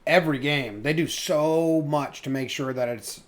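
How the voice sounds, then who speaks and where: A man's voice: on the high side, normal speed. A man co-hosting a sports podcast in casual, colloquial chat, swearing freely.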